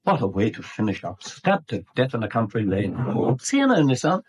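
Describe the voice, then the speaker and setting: An actor playing a middle-aged English property speculator in a 1970s radio drama, mock-polite and slyly insinuating. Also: male voice, mid-range voice, big pitch swings, normal speed, a little mellow, husky. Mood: menacingly playful. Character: sly, insinuating small-time property speculator and blackmailer.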